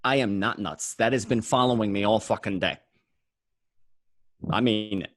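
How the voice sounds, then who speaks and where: A man's voice: fairly low pitch, moderate pace, a bit bright, dry recording, studio quality, close to an everyday voice. A man playing a paranoid, streetwise gangster narrating his day in a radio play, talking in a coarse, conversational way. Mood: irritated, paranoid. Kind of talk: first-person crime narration in a radio play.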